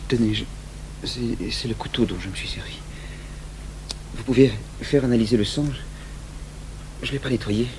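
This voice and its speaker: An actor playing an anguished, bitter young clerk in a French-language radio drama, confessing haltingly with stammered restarts. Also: male voice, mid-range voice, darkish tone, close to an everyday voice. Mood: nervous, shaky. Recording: noisy humming old radio tape.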